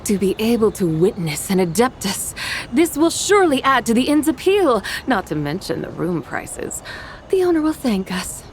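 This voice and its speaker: A woman's voice: fairly high pitch, big pitch swings, moderate pace, a little hiss or hum. A woman voicing a poised, self-assured immortal woman in a video game, speaking in a lofty, formal manner. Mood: amused, proud. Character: poised, self-assured, mysterious female adeptus, amused and showy. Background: game music.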